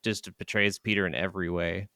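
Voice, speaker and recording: A man with a fairly low, clean voice speaks as a podcast co-host in casual gaming chat. He is emphatic and swears readily. The recording is dry, with little room sound. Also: fairly even intonation, fairly quick, bright, forceful tone, clear, resonant tone, dry recording. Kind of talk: casual chat about video games.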